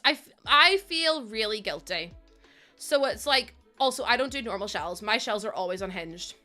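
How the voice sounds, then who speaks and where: A woman's voice: medium pitch, pushed and bright. A young woman with a Northern Irish accent chatting casually while live-streaming, rambling and self-mocking with lots of fillers.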